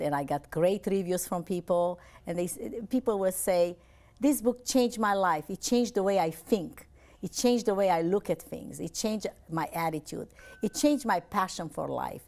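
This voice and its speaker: A woman from Romania, a life coach giving an earnest, upbeat television interview and often repeating her phrases. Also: medium pitch.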